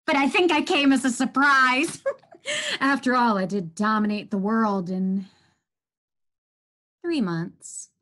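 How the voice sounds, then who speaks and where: A woman playing a boastful, menacing virus in a theatrical, drawn-out stage monologue. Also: sits high, lively intonation, full character voice. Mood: gloating. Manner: theatrical, drawn-out, gloating, swinging from outbursts to hushed menace. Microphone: computer microphone, close webcam framing.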